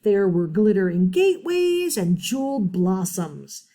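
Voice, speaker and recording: A sleepy old man from a storybook, as a woman puts him on, grumbling in slow, drawn-out words. He sounds drowsy and put out at being disturbed. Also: medium pitch, big pitch swings, warm tone. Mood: grumpy dreamy. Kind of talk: storybook reading aloud.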